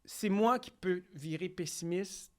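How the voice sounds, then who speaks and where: A young man with a Quebec French accent, a comedian telling stories on a podcast. He acts out quotes and talks in quick bursts with restarts. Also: high-pitched, a little mellow. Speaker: comedian guest. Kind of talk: casual podcast interview storytelling.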